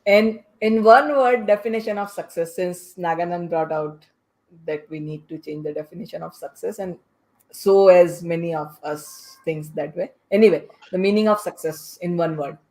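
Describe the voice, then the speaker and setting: A woman hosting a podcast panel in a conversational way, speaking haltingly and repeating phrases. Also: on the low side, a little mellow, low-quality recording. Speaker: podcast host moderating a panel.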